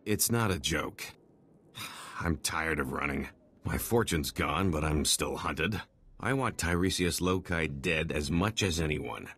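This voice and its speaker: Deep, husky male con artist. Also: measured pace, fairly bright tone, full character voice. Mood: pleading, persuasive. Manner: theatrical, wheedling salesman patter. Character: slippery middle-aged male con-artist fugitive, boastful wheeler-dealer. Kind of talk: video game voice acting.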